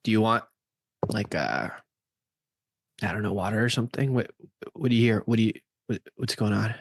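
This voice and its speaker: A man's voice: fairly low pitch, moderate pace, gravelly, voice pushed down. Man playing Billy, a nervous, defensive young slacker.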